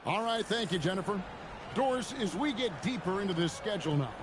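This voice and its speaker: A man's voice: sits high, expressive, a little fast, noisy background. A man playing a polished basketball broadcast presenter in a video game. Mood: polished, upbeat. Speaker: broadcast host and commentator. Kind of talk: TV sports broadcast. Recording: loud music, arena game sounds behind.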